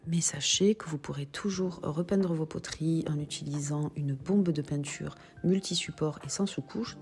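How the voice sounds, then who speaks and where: A woman with a low voice and a cold talks through her shop's pottery stock. She is chatty and explains the prices and sizes step by step. Music plays clearly behind her. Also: warm tone. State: has a cold. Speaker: shop owner presenting stock. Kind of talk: product walkthrough video.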